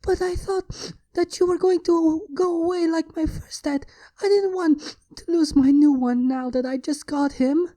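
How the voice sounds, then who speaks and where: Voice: very high voice, steady tone, measured pace, warm tone, pitched above the performer's own voice. A storyteller voicing a frightened young female dragon child who pleads with her adoptive dad in a fan fiction reading. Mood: tearful, afraid. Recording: music behind the voice.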